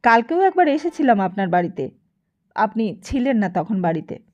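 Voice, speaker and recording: A woman voicing a smooth-talking, meddling gentleman who moralises and flatters in an audiobook. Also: mid-range voice, normal speed, lo-fi sound, lightly acted. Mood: ingratiating. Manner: ingratiating, moralising.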